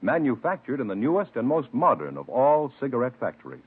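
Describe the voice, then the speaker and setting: Husky, swooping vintage radio pitchman. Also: huge pitch swings, a little fast, phone-quality sound. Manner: emphatic, salesmanlike. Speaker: commercial announcer. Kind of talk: 1950s radio cigarette advertising pitch. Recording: band-limited vintage radio recording.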